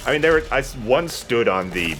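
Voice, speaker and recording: A man's voice: medium pitch, sing-song, fairly quick, a bit bright. A man giving casual, sweary commentary while he plays a video game. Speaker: let's play host playing the game. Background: game music.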